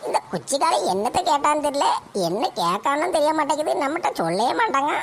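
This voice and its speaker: A nosy old grandmother with a lilt, fussing and scolding in a fairly high, light voice, as a woman puts her on. She grumbles at everyone in a homemade comedy sketch. A faint hiss sits behind her. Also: sing-song, quick pace. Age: older.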